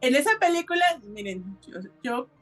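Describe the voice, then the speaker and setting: A woman's voice: high voice, very animated, moderate pace, a bit bright, soft background music. A woman with a Mexican Spanish accent co-hosting a podcast in casual, exclamatory chat. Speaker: podcast co-host.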